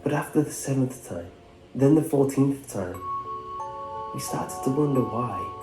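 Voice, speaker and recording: A man's voice: medium pitch, expressive, a little slow. A man reading a scripted first-person horror story as its narrator. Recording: roomy echo under music. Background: music.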